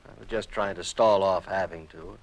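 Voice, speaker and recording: A man's voice: low voice, fairly even intonation, normal speed, vocal fry, poor audio. An actor playing a genial, easygoing American insurance man in a golden-age radio drama, folksy and rambling with hesitant turns. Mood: reluctant, uneasy.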